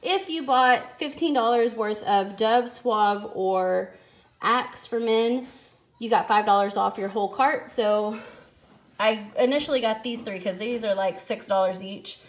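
A woman's voice: on the high side, a little slow. An American woman in her thirties chats informally in a rambling, explanatory way, like a family vlogger showing groceries. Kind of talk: casual grocery haul vlog chat. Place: indoor home kitchen at a counter. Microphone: handheld phone behind the camera. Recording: echoey room, crinkling package noise. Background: plastic packaging crinkles and clicks.

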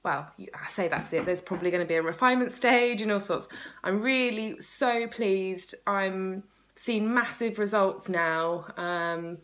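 A woman's voice: mid-range voice, measured pace, a bit bright. A woman in her thirties chatting casually and enthusiastically to camera, recorded on a phone in a room at home. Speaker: vlogger. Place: small room at home by a window, indoors. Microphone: phone at arm's length.

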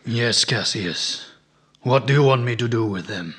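A man's voice: mid-range voice, unhurried, a bit bright, natural voice. Male actor as calculating henchman, audio drama, villain's underling, middle-range voice. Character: calculating animal-man henchman of a cult leader.

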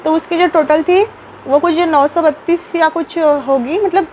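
Young woman vlogger, high-pitched, chatty with fillers and restarts, close phone mic in a small room. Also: steady tone, a little slow, darkish tone, dull audio, slight background noise. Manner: chatty, many fillers and restarts, mixes English words into Hindi. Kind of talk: casual unboxing vlog.

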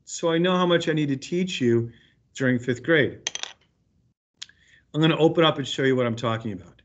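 A man's voice: fairly high pitch, moderate pace, slight background noise. A man teaching fifth grade, explaining an assignment to pupils in an informal, reassuring way over a video call. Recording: dull lo-fi video call audio.

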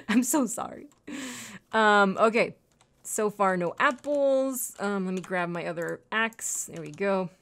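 A woman's voice: mid-range voice, animated, slow, a bit bright. Chatty woman playing a game. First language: Spanish. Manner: chatty and apologetic, talks to viewers and to game animals and trees. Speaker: gaming channel host. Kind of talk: casual let's-play commentary.

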